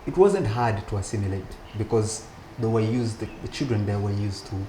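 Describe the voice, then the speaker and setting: A man speaking as an interview guest, telling stories about his school years in a relaxed way, with frequent laughs and restarts. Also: lowish voice, fairly quick, slight background noise. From Uganda. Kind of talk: relaxed conversational storytelling.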